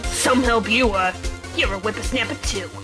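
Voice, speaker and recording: A woman's voice: on the high side, moderate pace, natural voice. A woman playing a feisty, crabby elderly security guard in an amateur fan dub of a game, talking in a folksy, scolding way. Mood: indignant. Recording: music playing behind the voice.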